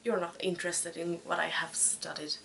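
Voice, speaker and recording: A Swedish woman in her twenties hosting a podcast in casual chat, speaking with a Swedish accent and pausing often to find her words. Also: fairly low pitch, flat delivery, gravelly, fairly bright tone, slight background noise. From Sweden. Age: 20s. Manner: hesitant, searching for words. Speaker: podcast host.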